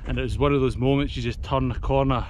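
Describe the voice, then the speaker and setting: A man's voice: mid-range voice, fairly even intonation, fast talker, darkish tone, a little hiss or hum. A Scottish man in his thirties, slightly out of breath, chatting casually to camera as a hiking vlogger outdoors on a mountainside. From Scotland. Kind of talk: casual vlog chat.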